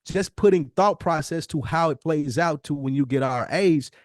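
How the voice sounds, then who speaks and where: Emphatic American man, slightly higher-pitched, dry sound. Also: normal speed, no room sound. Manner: emphatic, reflective, repeats phrases for emphasis. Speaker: podcast host. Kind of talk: casual conversational podcast talk.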